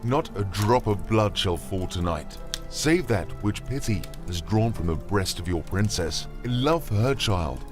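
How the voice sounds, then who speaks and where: Guy acting as fervent old-time missionary priest, big swings in pitch, declaiming over loud music. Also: lively intonation, measured pace. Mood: solemn, commanding. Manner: declamatory, formal archaic speech. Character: bold commanding missionary priest, fervent and solemn. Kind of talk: audio drama acting of a classic tale.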